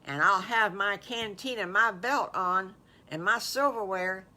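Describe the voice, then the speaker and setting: Woman playing an excitable young storybook wolf, bright-voiced. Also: mid-range voice, measured pace. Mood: delighted. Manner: stammering, repeating words, exclaiming. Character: excitable young orphan wolf, easily startled, tender-hearted.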